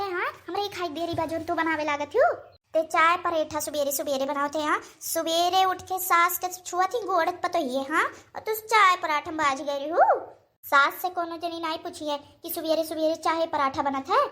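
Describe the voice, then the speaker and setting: Bossy old Awadhi matriarch played by a woman, very high bright voice, hectoring cartoon scold. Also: moderate pace. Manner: hectoring, repeating tags as threats. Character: bossy, scolding old village mother-in-law. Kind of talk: cartoon dialogue.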